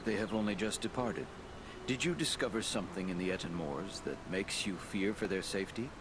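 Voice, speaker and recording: An actor playing a wise, grave elven lord in a fantasy game, giving counsel in formal, measured phrases. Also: male voice, deep voice, noisy background, close to an everyday voice. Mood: grave, dignified. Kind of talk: fantasy game cutscene acting.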